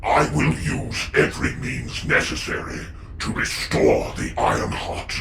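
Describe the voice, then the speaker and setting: An actor playing a proud, vengeful ruler in a video game, declaiming with defiant, commanding force. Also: male voice, medium pitch, measured pace, gravelly, a bit bright. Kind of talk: video game villain cutscene acting. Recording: echoing, distant, with faint noise.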